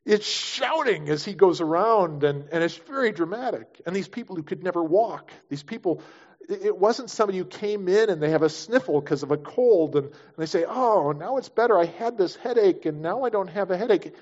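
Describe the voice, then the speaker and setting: A man's voice: sits high, expressive, fairly quick, darkish tone, muffled recording. A man preaching a conversational sermon as pastor, acting out quoted voices as he teaches.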